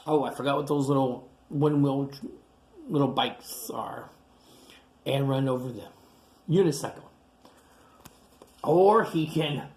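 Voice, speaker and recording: A woman reading a picture book aloud to children, animated, gasping and exclaiming at the pictures. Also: low-pitched. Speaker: storyteller.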